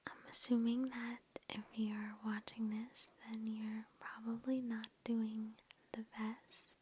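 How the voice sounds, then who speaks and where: A woman whispering a guided ASMR meditation in a breathy, gentle and reassuring way, close to the microphone. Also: fairly high pitch, little pitch movement, slow. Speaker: ASMR host. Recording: lo-fi with background noise and clicks.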